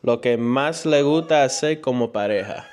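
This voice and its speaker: Slow-talking young man, hesitant. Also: mid-range voice, a little mellow, slight background noise. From New York. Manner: hesitant, searches for words, slips into English. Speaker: co-host answering viewer questions. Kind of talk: casual chat.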